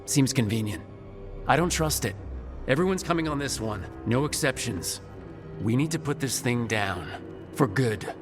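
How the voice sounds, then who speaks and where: An actor playing a decisive, gruffly caring leader of adventurers in a fantasy video game. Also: male voice, medium pitch, expressive, normal speed, music in the background, lightly acted. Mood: determined. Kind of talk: dramatic fantasy game dialogue.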